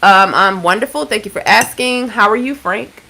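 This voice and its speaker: Bright-sounding woman, 30s, from georgia, laid-back livestream chat, cussing and shouting out viewers. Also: mid-range voice, moderate pace, slight background noise. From Georgia, USA. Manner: chatty, laid-back, swears casually, gives shout-outs to viewers. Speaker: livestream host.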